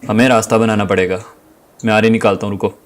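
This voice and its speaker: A man's voice: lowish voice, little pitch movement, fast talker, background noise, lightly acted. A man playing a clever, self-assured young university student in an audio drama.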